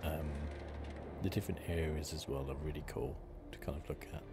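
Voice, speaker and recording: A man softly giving relaxed ASMR commentary as he plays a video game, musing as he goes. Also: rumbling low, a little fast. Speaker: gaming video host. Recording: clearly noisy, game ambience behind. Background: video game sound.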